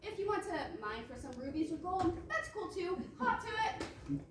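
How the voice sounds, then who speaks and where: Perky bossy princess, played by a woman, comic stage play, very high voice, distant echoey pickup. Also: big pitch swings, normal speed. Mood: breezy, catty. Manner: gushing, exclamatory, bossy. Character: perky, self-absorbed fairy-tale princess, young woman. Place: roomy indoor stage, recorded from afar. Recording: echoey room, distant mic, noisy.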